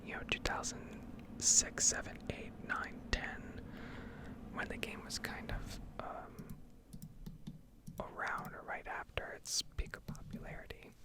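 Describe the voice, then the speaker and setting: A woman whispers throughout in a breathy, unvoiced tone. She talks as the host of an ASMR gaming video, rambling through explanations in an analytical way. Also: unhurried.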